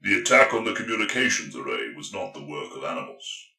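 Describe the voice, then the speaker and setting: Man putting on a gruff, forceful soldier. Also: sits low, very animated, fairly quick, reverberant, recorded from a distance, full character voice. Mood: gruff, certain. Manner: gruff, grunting, challenging. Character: gruff, skeptical male space marine gunner. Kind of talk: audiobook character dialogue.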